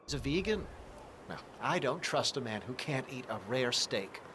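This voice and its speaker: A man's voice: mid-range voice, very animated, normal speed, noisy background, close to an everyday voice. A man playing an easygoing, food-obsessed suburban husband in a video game, chatty and mildly whiny in casual dialogue. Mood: hungry, mildly puzzled.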